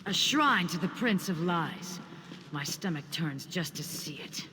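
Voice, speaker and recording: An earnest, pious game companion, wary and formal. His high voice carries a long reverb over clear background noise. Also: animated, a little slow. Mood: grave, wary. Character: pious righteous male companion, wary of evil. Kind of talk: video game voice acting.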